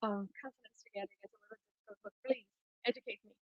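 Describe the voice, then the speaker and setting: A woman's voice: medium pitch, fairly even intonation, very fast, dry recording, muffled recording. Portuguese-speaking woman hosting an online panel. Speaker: panel moderator. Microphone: video call.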